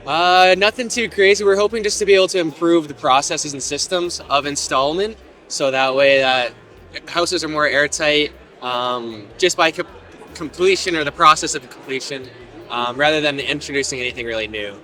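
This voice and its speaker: A young man, a fourth-year student, explaining his project calmly and plainly. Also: on the high side, moderate pace.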